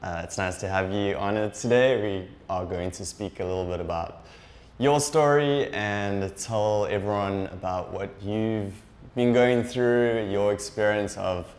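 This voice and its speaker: A man's voice: fairly low pitch, unhurried, a little mellow, roomy sound. A young man hosting a podcast interview, casual and hesitant, with many "uh"s and restarts. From Durban, South Africa. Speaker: podcast host.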